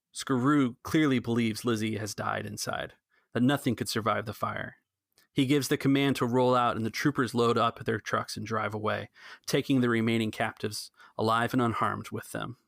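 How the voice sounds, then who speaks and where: Male game master and narrator for an actual-play podcast, average pitch, very dry room. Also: gravelly, fairly bright tone, no room sound. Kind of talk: scripted story narration for a tabletop game.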